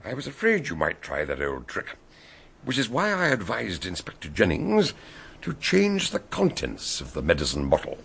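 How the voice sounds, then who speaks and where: Clever detective, older-sounding man, husky, deliberate, radio play. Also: lowish voice, wildly swooping pitch, a bit bright, heavily acted. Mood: explaining. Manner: explaining, measured. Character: shrewd male detective, calm and lecturing.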